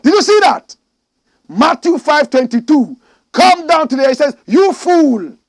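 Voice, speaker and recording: A man from Ghana giving impassioned political commentary, preachy and emphatic, swinging from loud outbursts to low asides. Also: very animated, measured pace.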